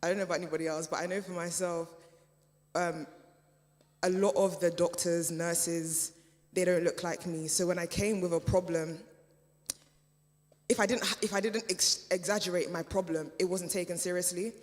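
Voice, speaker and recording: A woman whose first language is German, answering on a discussion panel in a measured, hesitant way with many fillers. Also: lowish voice, fairly even intonation, darkish tone. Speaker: panel speaker. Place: church hall event. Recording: reverberant church hall.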